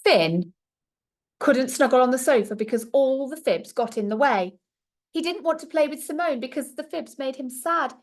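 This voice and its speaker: A woman's voice: sits high, expressive, moderate pace. A woman reading a storybook aloud to young children like a primary teacher, expressively and with little asides to her listeners.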